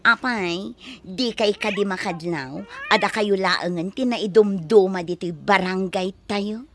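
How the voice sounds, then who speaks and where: A woman's voice: medium pitch, a little slow, a bit bright. A woman playing a long-suffering, plaintive village wife and mother with an Ilocano accent in an audio drama. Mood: aggrieved. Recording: clearly noisy ambient background.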